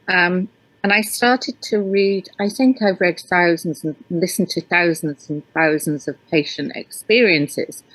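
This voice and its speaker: A woman's voice: lowish voice, fairly bright tone, no echo, poor audio. A woman with a hybrid northern English accent, raised in Yorkshire, speaking as an interview guest and author, hesitant with many ums and restarts. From Yorkshire, England. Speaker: author and researcher. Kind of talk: interview guest answering questions.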